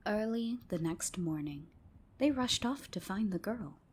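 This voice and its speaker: A woman narrating a folk tale as ASMR, with a soft, whispery, hushed bedtime delivery. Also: medium pitch, expressive, measured pace, slight background noise. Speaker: storyteller. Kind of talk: ASMR storytelling, scripted narration.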